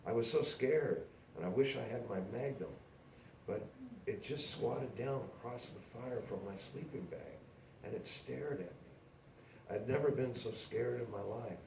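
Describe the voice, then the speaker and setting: A man voicing a brash, excitable woman publisher with an emphatic, insistent manner in a literary reading. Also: medium pitch, unhurried, gravelly, pitched above the performer's own voice. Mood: awed, excited. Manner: emphatic, breathless insistence, calls people dearie. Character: brash, excitable woman small-press publisher, proud and gushing. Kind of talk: dialogue in a live literary reading. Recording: roomy, distant live recording with noise.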